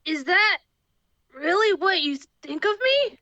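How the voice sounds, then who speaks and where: High, bright, plaintive little cartoon fox boy, played by a woman, wounded and childlike, for an amateur fanfic reading. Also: expressive, takes it slowly, lo-fi sound, exaggerated voice. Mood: hurt. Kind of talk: amateur fan fiction cast reading.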